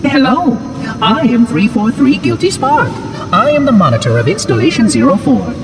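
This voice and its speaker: An actor voicing a fussy, cheerful floating AI monitor that speaks in a high, prim, overly polite way. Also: male voice, big pitch swings, moderate pace, background noise. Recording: game audio with loud ambience.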